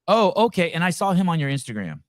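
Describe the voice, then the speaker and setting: A man's voice: on the high side, very animated, fast, no room sound, clean studio sound. A man from California hosting a casual podcast interview, joking and rambling, often stumbling and restarting his sentences. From Santa Cruz, California. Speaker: podcast host.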